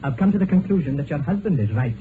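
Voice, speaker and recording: An actor playing an earnest, courteous, troubled doctor with a grave, formal manner in an old radio drama. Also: male voice, a little high, lively intonation, quick pace, over music, lightly acted. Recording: noisy, tinny old radio recording.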